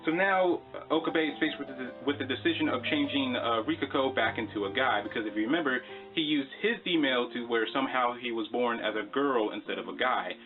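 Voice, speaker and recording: A man's voice: medium pitch, brisk, hardly any pauses, phone-quality sound, over music. A man hosting a video, giving an informal, conversational explainer commentary and repeating phrases for emphasis. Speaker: video host.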